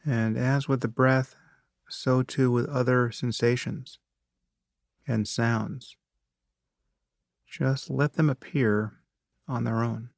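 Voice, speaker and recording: A man leading a guided meditation, speaking in a measured, unhurried way with long pauses and calm instruction. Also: medium pitch, gravelly, no room sound, clean studio sound.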